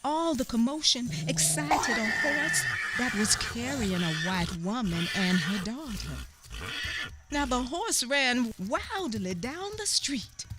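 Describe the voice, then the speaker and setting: A woman performing a radio play as a narrator who recalls her youth with grave, emphatic telling, hushed asides and knowing murmurs. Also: fairly high pitch, heavy background noise. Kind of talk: radio theater storytelling.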